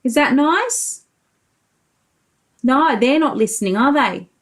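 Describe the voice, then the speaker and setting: A woman's voice: high voice, animated, slow. A woman preschool teacher reading a picture book aloud to young children, explaining things and asking simple leading questions.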